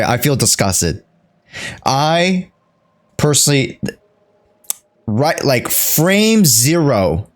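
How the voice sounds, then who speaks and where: Animated, slow-paced male game streamer. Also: mid-range voice. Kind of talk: live playthrough commentary.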